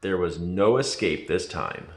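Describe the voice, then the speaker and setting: Slow, dramatic male storybook narrator. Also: deep voice, lively intonation, slight background noise. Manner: dramatic, deliberate. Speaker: storybook reader. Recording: echoey room.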